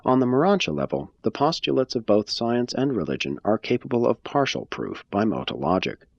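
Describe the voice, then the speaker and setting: A man giving a measured, phrase-by-phrase scripted reading of a religious text. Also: mid-range voice, big pitch swings, creaky, dry recording, lo-fi sound. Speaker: reader.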